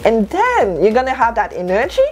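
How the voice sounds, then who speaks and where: A woman of about thirty whose first language is French, giving an animated motivational talk to camera in her bedroom and repeating lines for stress. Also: a little high, moderate pace. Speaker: lifestyle vlogger. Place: bedroom at home, indoors.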